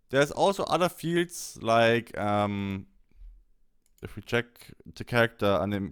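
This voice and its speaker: A man presenting a software tutorial, explaining step by step in a halting instructional walkthrough. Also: mid-range voice, clear, resonant tone. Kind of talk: instructional screen-capture walkthrough.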